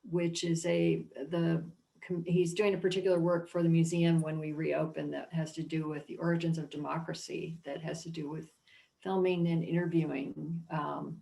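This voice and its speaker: Reflective woman with a creaky voice. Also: low-pitched, little pitch movement, moderate pace, mellow, low-quality recording. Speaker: art museum curator. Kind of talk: informal panel discussion.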